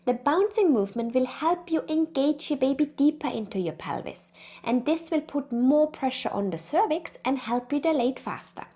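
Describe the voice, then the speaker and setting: A woman's voice: high voice, expressive, moderate pace, warm tone. A woman with an Austrian accent, presenting an educational video as a birth consultant, upbeat and encouraging.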